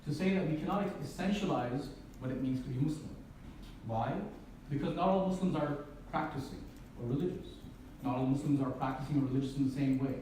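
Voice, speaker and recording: A man from Canada gives a public lecture in a mellow, darker voice. He poses rhetorical questions and circles back over his phrases. His voice reaches the distant microphone through a very echoey hall, with faint hiss behind it. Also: medium pitch. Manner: earnest, repeats and restarts phrases, asks rhetorical questions.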